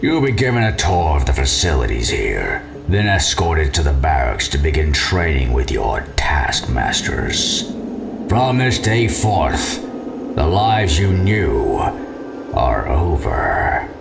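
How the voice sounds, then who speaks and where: A creaky alien overlord for an audio drama, cold, menacing, swooping delivery over music. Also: male voice, extremely low pitch, big pitch swings, full character voice. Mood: commanding, ominous. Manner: slow, cold, deliberate pronouncements. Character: menacing alien overlord addressing captive conscripts. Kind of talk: scripted audio drama acting.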